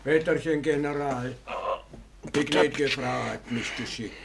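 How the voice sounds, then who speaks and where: A deferential manservant in a radio drama. His voice is fairly high, and he reports respectfully over faint noise. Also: a little slow, gravelly. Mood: dutiful. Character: deferential Russian manservant, dutiful messenger.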